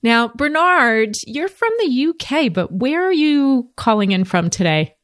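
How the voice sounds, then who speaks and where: A woman hosting a podcast interview, friendly and quick to laugh. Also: on the high side, animated, no room sound, clean studio sound. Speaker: podcast host. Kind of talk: conversational interview.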